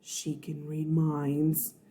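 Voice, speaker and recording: A woman's voice: deep voice, monotone, drawn-out words, dark tone, pitched below the performer's own voice, full character voice. A woman voicing an anxious, imaginative young schoolboy with a wide-eyed, dramatic manner in a children's storybook. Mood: horrified.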